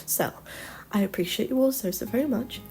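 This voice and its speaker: A woman's voice: on the high side, brisk, vocal fry, dark tone. A woman with a nasal voice from a cold, rambling chattily with many ums through a casual voice-over over a game build. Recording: music playing behind the voice.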